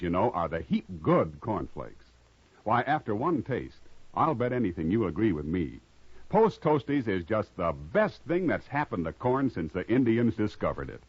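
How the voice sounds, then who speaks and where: A man's voice: lowish voice, husky, dry recording. An American man working as a radio announcer, delivering a script in polished 1950s broadcast style. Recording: muffled vintage radio recording with music.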